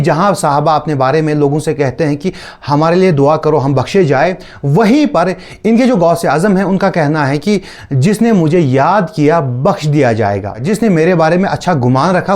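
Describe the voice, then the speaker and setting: A man's voice: fairly high pitch, darkish tone. A man hosting a commentary video in a casual, teasing and sarcastic way. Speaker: host of a video commentary channel. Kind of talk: casual mocking commentary on preacher clips.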